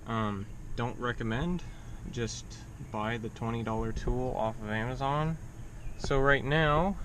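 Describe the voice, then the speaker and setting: A man casually narrating his own hands-on repair vlog in a plain-spoken, wry way. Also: medium pitch. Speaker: DIY truck repair vlogger. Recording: background noise of vehicle and hum.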